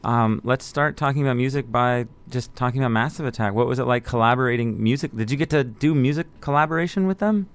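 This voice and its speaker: A male radio host asks his guest questions in a friendly, conversational tone. His voice is smooth and clear. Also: medium pitch, quick pace, few pauses. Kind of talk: interviewing a guest.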